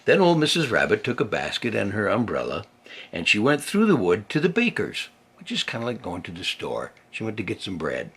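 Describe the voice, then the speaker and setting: Gravelly older man telling children's stories. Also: lowish voice, a little fast. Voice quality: husky, gravelly. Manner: simple explanations, playful, expressive. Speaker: grandfather reading a children's story. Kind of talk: storytelling to a young child with chatty asides.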